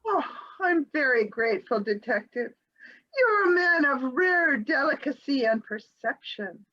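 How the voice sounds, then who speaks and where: A woman plays a genteel, evasive housewife in a comic readers theater sketch, captured in dull, lo-fi audio. Her high voice swoops and flutters through theatrical, over-polite excuses. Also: expressive, measured pace, sharp tone, exaggerated voice. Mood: coyly confessing.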